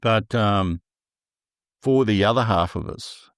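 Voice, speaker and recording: A low, gravelly male voice with a New Zealand accent, talking slowly and deliberately with a stutter. He comes across as thoughtful and easygoing as a podcast guest. The recording is dry and close. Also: no room sound. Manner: slow, deliberate speech with a stutter. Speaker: podcast guest, public speaker. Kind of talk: casual interview conversation.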